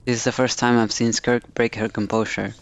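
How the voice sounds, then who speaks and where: A man's voice: mid-range voice, flat delivery, a little fast, creaky. A man streaming a video game, reading the dialogue aloud and commenting on the story as he goes.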